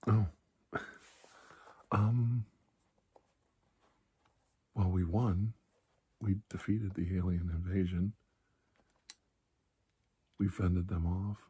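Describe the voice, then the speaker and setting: A man speaking in a soft, breathy ASMR roleplay as a calm, kindly doctor, gentle and reassuring with unhurried pauses. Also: low voice. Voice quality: breathy, hushed. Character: calm, kindly male doctor soothing a frightened patient. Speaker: ASMR performer playing a doctor.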